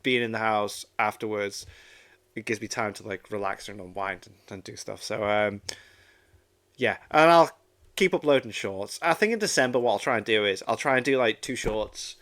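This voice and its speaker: A man's voice: fairly low pitch, animated, normal speed, fairly bright tone. Enthusiastic, rambling man chatting casually. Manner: enthusiastic, rambling, repeats himself. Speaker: reaction video host. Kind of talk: casual reaction chat.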